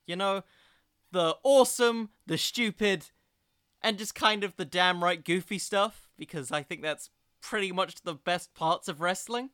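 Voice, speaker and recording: A man's voice: high voice, a little slow, bright, forceful tone, clear, resonant tone, no echo, studio quality. A young man hosting an informal solo podcast, rambling along in a jokey, self-deprecating way. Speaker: podcast host.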